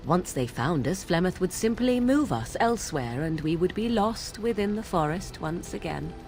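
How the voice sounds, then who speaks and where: A woman's voice: fairly low pitch, lively intonation, normal speed, a little mellow. An actress playing a sly young witch who teases in a wry, archly formal way, with game music behind her. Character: sly young female witch, mocking and aloof.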